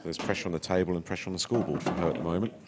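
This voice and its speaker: Very deep, fast-talking male commentator. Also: steady tone, background noise. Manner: brisk, explaining the play. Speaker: lead commentator. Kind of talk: live pool commentary.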